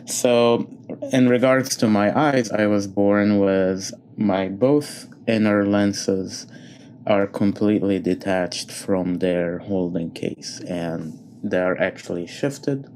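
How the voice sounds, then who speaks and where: A man from Egypt, a concert pianist, answering interview questions thoughtfully with many pauses and fillers. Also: fairly low pitch, a little mellow, slight background noise.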